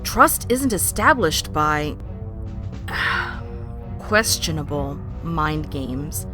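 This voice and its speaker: A woman's voice: deep voice, sing-song, drawn-out words, music in the background, heavily acted. A woman playing a suspicious, blunt starship first officer in a science-fiction audio drama, urgent and wary. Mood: distrustful.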